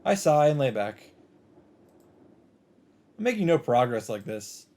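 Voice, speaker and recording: A man reading a visual novel script aloud in an expressive narrating style. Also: mid-range voice, unhurried. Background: game music.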